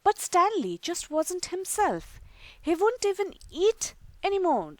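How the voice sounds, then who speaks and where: Woman storyteller, high lively voice, unhurried reading. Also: no room sound. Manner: slow, expressive reading. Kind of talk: children's book read-aloud.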